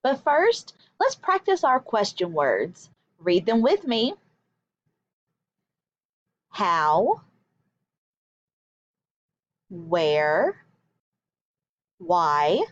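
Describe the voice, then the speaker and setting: A woman teacher reading a picture book aloud for young children, with deliberate, emphatic storytelling and instructional delivery, in a slightly dull recording. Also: medium pitch.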